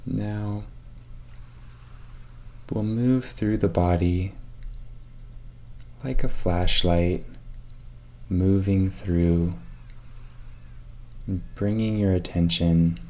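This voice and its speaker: Slow, low-pitched male meditation guide. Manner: calm, measured instruction with long pauses.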